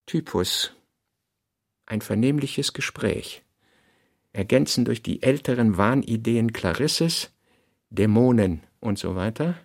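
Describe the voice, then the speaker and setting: A man's voice: medium pitch, lively intonation, slow, vocal fry, long pauses, a little mellow. A man reading literary text and working notes as a narrator in a studio radio production.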